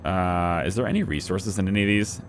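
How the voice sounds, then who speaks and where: A young man hosting a let's play, chatting casually as he plays and talking to his units, a little hoarse from losing his voice. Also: sits low, brisk. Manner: chatty, thinks aloud, talks to his units. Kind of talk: casual gameplay commentary.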